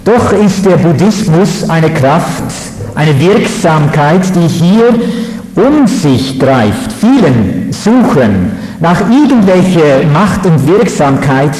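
A man from the Netherlands, giving a measured, halting lecture on religion with fillers. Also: on the high side, big pitch swings, a little mellow. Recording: echoey hall.

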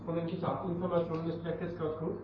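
A man acting as moderator, politely and briskly cutting in. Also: a little high, flat delivery, slight background noise. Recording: dull lo-fi recording from far off.

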